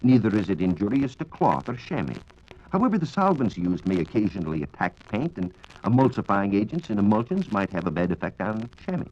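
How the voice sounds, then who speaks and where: Male American science reporter, 1940s radio style, lowish pitch, formal precise delivery, dull lo-fi vintage recording. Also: very animated, fairly quick, a little mellow. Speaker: science reporter answering listener questions. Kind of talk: 1940s radio scripted explanation.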